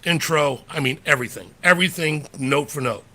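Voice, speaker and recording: A man's voice: fairly high pitch, a little fast, bright, forceful tone. A man co-hosting a podcast, telling a rambling anecdote in a loose, slangy way. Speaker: podcast co-host. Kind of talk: casual storytelling chat.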